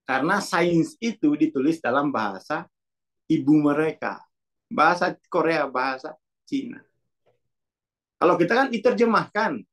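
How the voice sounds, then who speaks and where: A man's voice: on the high side, fairly bright tone. A man, a Muna speaker, talking in a casual, reflective monologue that is loose and full of asides. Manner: musing, self-correcting, loose with many asides. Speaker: speaker on consciousness and self-development.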